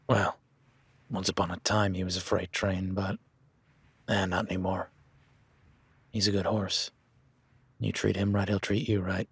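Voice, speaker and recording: A man's voice: sits low, steady tone, a little slow, long pauses, a bit bright, husky, no room sound, heavily acted. A man playing a rugged, plain-spoken cowboy ranch hand with a folksy, easygoing manner that slips into casual swearing, in an audio roleplay. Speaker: ranch hand guiding a newcomer.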